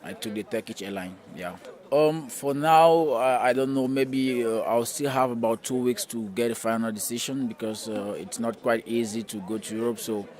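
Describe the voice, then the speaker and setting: A man's voice: medium pitch, normal speed, darkish tone. A man from Cameroon, a migrant, answering interview questions in a conversational way with frequent fillers. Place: outdoors in a city.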